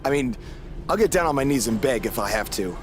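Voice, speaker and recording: An actor playing an earnest, determined rookie wrestler in conversational video game dialogue. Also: male voice, medium pitch, expressive, brisk, a little hiss or hum, close to an everyday voice. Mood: earnest, pleading.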